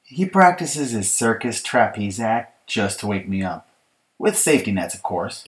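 A man's voice: lowish voice, animated, unhurried. Man reading as exasperated kid sibling, emphatic storybook complainer. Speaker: author reading his audiobook. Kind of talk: children's picture-book storytelling.

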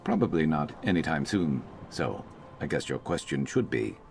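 A brooding reptilian adventurer from a video game, speaks in an extremely low, creaky growl. The delivery is dry and grumbling, yet it swings expressively up and down, wry and gruff throughout. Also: male voice, very animated, normal speed, slight background noise, full character voice. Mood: reflective, loyal. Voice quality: gravelly. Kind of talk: video game companion dialogue.

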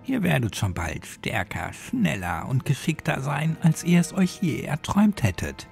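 A man's voice: fairly high pitch, wildly swooping pitch, a little slow, creaky, faint music behind, voice pushed up, heavily acted. A man voicing a cold, manipulative little girl in a horror audio story, persuasive and commanding. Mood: coaxing.

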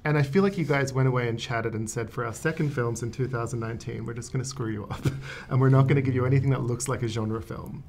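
A man in his thirties interviewing two guests at a press junket, chatty and quick to laugh. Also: mid-range voice, fairly even intonation, vocal fry, a little mellow, a little hiss or hum. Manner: chatty, laughs often, friendly questions. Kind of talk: casual interview chat. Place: hotel press junket room, indoors.

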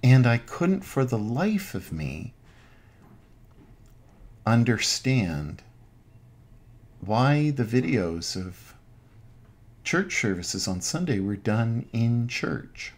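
A middle-aged man, an Anglican priest, giving an informal online sermon from his home den in measured, halting phrases. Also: mid-range voice, very animated. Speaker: Anglican priest, parish pastor. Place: small home den with bookshelves.

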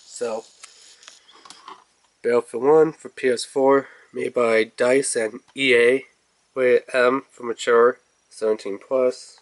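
A man's voice: medium pitch, fairly even intonation, slow pace, long pauses. A man with a stuffy nose rambling casually through a video game unboxing review, full of fillers, recorded indoors at home on a phone or camera above a table. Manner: rambling, filler-heavy, talks to camera while handling the case. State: stuffy nose, congested, clearing throat. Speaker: gaming channel host.

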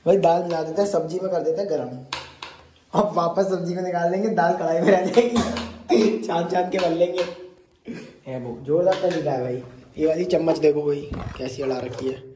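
A man's voice: fairly high pitch, moderate pace, dark tone. A young man from India filming a casual vlog at home, joking and teasing his friends while he cooks. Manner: joking, teasing, laughs often. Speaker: vlogger. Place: small home kitchen and room indoors. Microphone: handheld phone camera. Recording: echoey room, faint noise.

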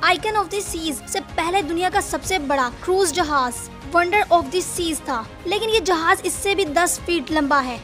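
High-voiced woman narrating facts. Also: fairly bright tone. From Pakistan. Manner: addresses viewers as friends. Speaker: channel host. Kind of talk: scripted fact narration. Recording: voice-over with faint background music.

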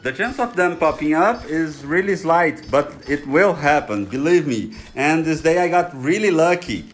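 A man's voice: a little high, animated, normal speed, a little hiss or hum. Brazilian-accented man narrating a game guide. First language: Portuguese. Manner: friendly, chatty, self-joking. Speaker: gaming YouTuber. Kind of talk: screen-recorded game guide voice-over.

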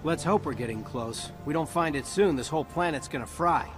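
A man's voice: on the high side, a little fast, lightly acted. A man playing a brash, determined human hero in a video game, with casual, wry, slangy delivery. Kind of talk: naturalistic video game acting. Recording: loud music behind.

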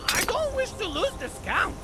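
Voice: extremely high pitch, very animated, normal speed, heavy background noise. An actor voicing a small, chatty, boastful rat creature in a video game, rambling with hesitant asides. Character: small chatty boastful skeever, hard-drinking step counter. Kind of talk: scripted game companion dialogue. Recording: loud music behind the voice.

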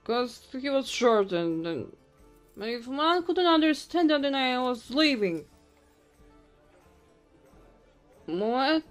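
Tipsy goblin innkeeper woman, played by an actress, intimate and teasing, unhurried ASMR roleplay delivery over music. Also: high voice, full character voice. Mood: tipsy, chatty. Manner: teasing, intimate, drawn-out drunken musing. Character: tipsy, flirty, short female goblin innkeeper.

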